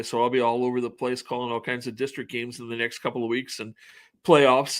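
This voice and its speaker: A man co-hosts a casual sports talk show, speaking fast in a relaxed, easy way. He often restarts the beginning of his sentences, at a mid-range pitch. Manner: quick, relaxed, repeats openings.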